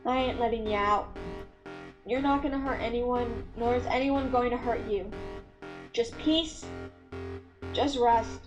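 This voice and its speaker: A high, slow-spoken actress as a female scientist in a radio drama, worn down by guilt. She sounds resigned yet tender, with music playing behind her. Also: dull audio, natural voice. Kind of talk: radio play acting.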